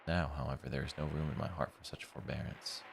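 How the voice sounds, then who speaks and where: A man reading a visual novel's inner monologue as a tormented, bitter young man, in a very deep, gravelly voice with a measured literary delivery. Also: fairly even intonation, background noise. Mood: bleak, weary. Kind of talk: read-aloud visual novel narration.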